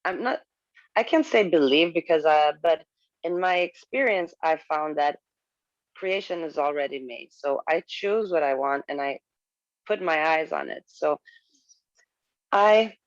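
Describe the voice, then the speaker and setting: A woman's voice: low-pitched, no room sound. Woman chatting openly, lots of restarts, kinda muffled dead-dry sound. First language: Swedish. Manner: candid, halting with frequent restarts and laughs. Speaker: guest. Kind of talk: casual video-call conversation.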